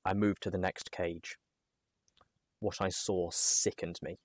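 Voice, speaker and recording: A man reading a first-person adventure story aloud as narrator, in an expressive, dramatic manner. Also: sits low, slow, no room sound.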